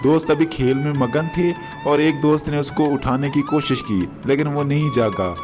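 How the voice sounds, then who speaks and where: A man's voice: fairly high pitch, normal speed. A man narrating a moral story for children as a storyteller. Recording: music behind the voice.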